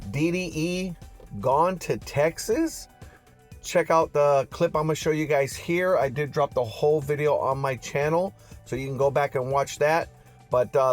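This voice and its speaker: A man presents car news as a casual YouTube host. His voice sits a little high and his talk is slangy and chatty, addressed straight to viewers, with music playing behind him. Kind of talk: casual vlog commentary.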